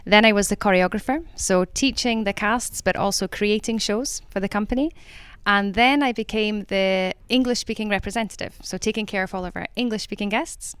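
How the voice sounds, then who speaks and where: A woman's voice: mid-range voice, fairly quick, a bit bright, clean tone, no room sound. A Scottish woman with a slight Italian tinge to her accent, chatting cheerfully in an interview about her cruise line career. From Scotland. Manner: cheerful, laughs easily. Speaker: cruise line club ambassador. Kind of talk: casual interview chat.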